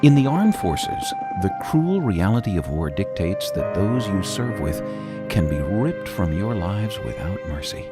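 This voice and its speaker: A man's voice: deep voice, very animated, measured pace, over loud music. A man reading scripted radio documentary narration in a solemn, polished manner.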